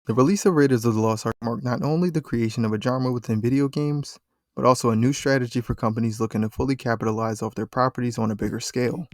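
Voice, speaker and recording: A man's voice: mid-range voice, fast talker, darkish tone, no echo, studio quality. A man reads scripted documentary narration for a video essay, speaking as a voice-over.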